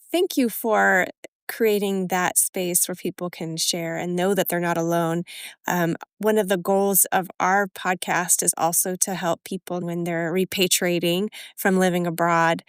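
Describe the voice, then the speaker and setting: A woman's voice: on the low side, smooth, dry recording, clean studio sound. A woman co-hosting a podcast, presenting and interviewing in a conversational way. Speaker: podcast co-host. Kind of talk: scripted intro and conversational interviewing.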